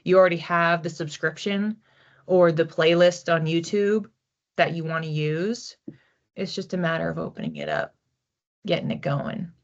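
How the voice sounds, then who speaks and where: Female psychic reader, lowish pitch, informal and conversational, thinking aloud; slightly muffled lo-fi recording. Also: animated, normal speed. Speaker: psychic reader hosting a weekly collective reading. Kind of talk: casual, conversational card reading to an audience.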